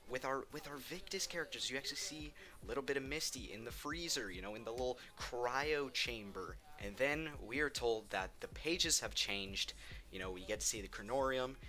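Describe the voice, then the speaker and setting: A man's voice: lowish voice, sharp tone. A man giving casual, opinionated countdown commentary on video games, enthusiastic and emphatic, repeating words for stress. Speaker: video game ranking host.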